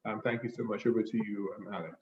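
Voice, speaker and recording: A man, the report's author, giving a measured webinar answer with frequent filled pauses over a video call. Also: lowish voice, steady tone, a little hiss or hum. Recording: muffled echoey video call audio.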